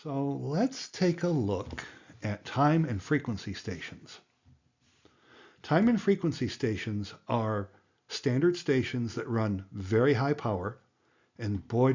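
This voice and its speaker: A man's voice: medium pitch, a little mellow, slightly rough, dull audio. A man giving a plain-spoken, emphatic tutorial as an instructor, explaining things step by step. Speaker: amateur radio instructor and presenter.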